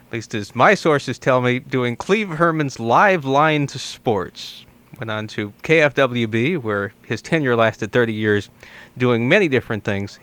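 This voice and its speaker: A man's voice: mid-range voice, fairly bright tone. An American man hosting a radio interview, introducing his guest and putting questions to him.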